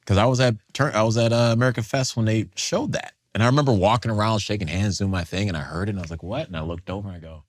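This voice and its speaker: A man's voice: on the low side, rapid-fire, hardly any pauses, no room sound, studio quality. A man telling stories animatedly as a guest on a casual panel talk show, acting out quotes. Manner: animated storyteller, acts out voices.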